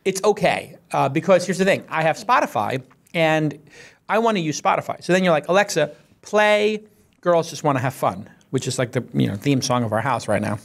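A man in his forties hosting a podcast roundtable and reading ads, animated and punchy, talking into a studio boom mic. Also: fairly quick, creaky. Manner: animated, gestures broadly, punchy asides. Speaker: podcast host. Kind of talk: casual roundtable chat and ad read. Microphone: studio mic on boom arm.

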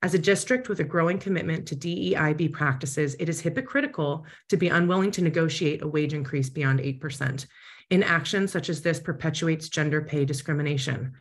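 A woman's voice: low-pitched, a little fast. A woman firmly reading a prepared public comment by video call, with pointed emphasis. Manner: firm, pointed delivery.

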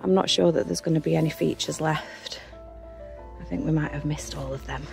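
A young woman hosts an exploration vlog. Her voice is lowish and mellow, with a gravelly edge. She moves between relaxed, filler-laden chat and read narration. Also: a little fast, soft background music. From United Kingdom. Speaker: urban exploration vlogger.

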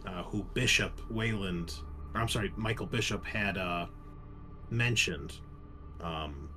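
A man's voice: low voice, unhurried, bright, forceful tone, soft background music. A man narrating casually as game master of a tabletop roleplaying game. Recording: noticeable background noise.

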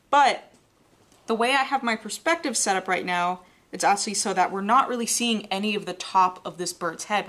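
A young woman with a Southern American accent, an art instructor talking step by step through a live drawing demonstration in a studio, often correcting her own words as she sketches. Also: lowish voice. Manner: relaxed, explains step by step while sketching, self-correcting. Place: studio with an overhead desk camera.

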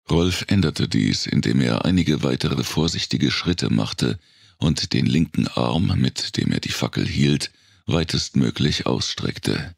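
Very deep, gravelly male audiobook narrator. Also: no room sound, clean studio sound. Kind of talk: scripted narration of a dark post-apocalyptic thriller audiobook.

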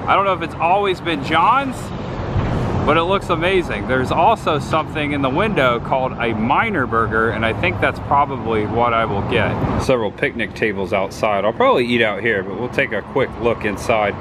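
A man's voice: mid-range voice, moderate pace. Chatty man vlogging by the road. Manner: chatty, enthusiastic, pointing things out. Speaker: travel vlogger. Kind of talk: casual on-the-go narration. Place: outdoors by roadsides and in a small diner. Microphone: handheld camera at arm's length. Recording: outdoor traffic noise behind.

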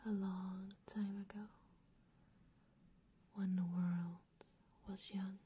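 A woman narrating a fantasy introduction in a hushed, breathy whisper, deliberate and with long pauses. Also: mid-range voice, flat delivery, a little hiss or hum.

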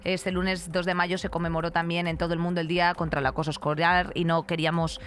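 A woman's voice: lowish voice, level tone, fast. A woman in her thirties with a Castilian accent from Spain, giving blunt, emphatic, colloquial opinions as a podcast co-host. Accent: Castilian Spanish from Spain. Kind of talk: casual opinionated commentary. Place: radio-style podcast studio. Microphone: close studio microphone on desk.

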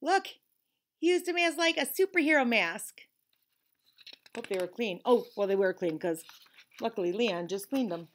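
A woman's voice: on the high side, expressive, moderate pace, pauses often, slight background noise. A middle-aged woman teacher reading a picture book aloud to children expressively, with conversational asides. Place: classroom, indoors.

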